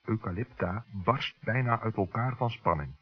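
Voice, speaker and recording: A man narrating a children's radio play in a scripted storytelling style, with a husky voice. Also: lowish voice, lively intonation, moderate pace, faint music behind. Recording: dull lo-fi old radio recording.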